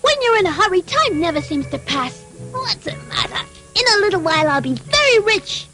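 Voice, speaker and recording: A woman's voice: very high voice, very animated, brisk, over music, full character voice. A woman voicing an eager, naive little puppet boy in a cartoon, childlike and effusive. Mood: impatient, dreamy. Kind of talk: dubbed cartoon acting.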